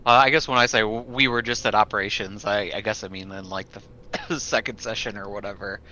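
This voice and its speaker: Bright-voiced, hesitant man on dull audio. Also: on the low side, steady tone, brisk. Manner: hesitant, stammering. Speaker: tabletop player, playing the captain. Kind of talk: casual in-character roleplay chat. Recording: dull lo-fi sound, faint noise.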